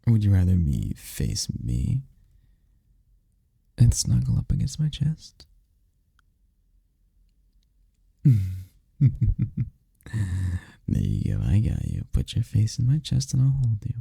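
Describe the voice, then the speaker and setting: Man voicing a deep, gravelly, tender incubus boyfriend. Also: wildly swooping pitch, normal speed, no echo, studio quality, exaggerated voice. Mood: soothing, affectionate. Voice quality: gravelly, dark low voice. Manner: soft, soothing, teasing, lapses into whispers. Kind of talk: intimate ASMR roleplay, comforting. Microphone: close microphone, dry.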